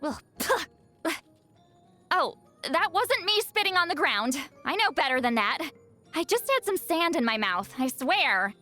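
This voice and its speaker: A woman voicing a video game seer, youthful-sounding, proud but easily flustered and secretly awkward, in an expressive, haughty and defensive manner. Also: high-pitched, measured pace, soft background music, heavily acted. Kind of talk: video game character voice lines.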